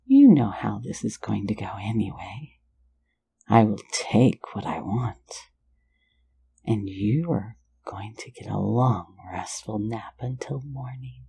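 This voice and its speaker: A woman's voice: very deep voice, lively intonation, takes it slowly, creaky, dark tone, husky, full character voice. A woman voicing a sly, playful phantom thief in an ASMR roleplay, mostly whispering close to the mic in a teasing, soothing way. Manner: teasing, soothing, lulling listener to sleep.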